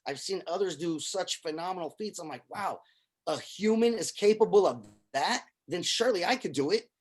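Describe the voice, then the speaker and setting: A man's voice: high-pitched, expressive, normal speed, slightly rough. Earnest man repeating his words. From Syria. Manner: earnest, repeats words when starting sentences. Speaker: guest, army veteran and film producer. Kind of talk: casual conversation.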